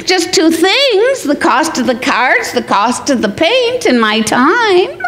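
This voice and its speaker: A woman's voice: very high-pitched, sing-song, slow pace, a bit bright, slight background noise, full character voice. A woman playing a scatterbrained, cheerfully muddled wife in old-time radio comedy, with earnest, mixed-up reasoning. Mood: eager, muddled.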